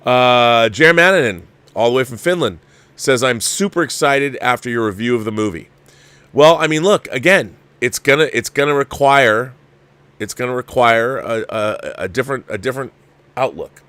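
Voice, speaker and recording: A man's voice: medium pitch, lively intonation, normal speed, a bit bright, clean tone, no echo. A man hosting a livestream, giving casual film commentary and answering viewers, repeating phrases for emphasis and often restarting his sentences. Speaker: livestream host reviewing a film.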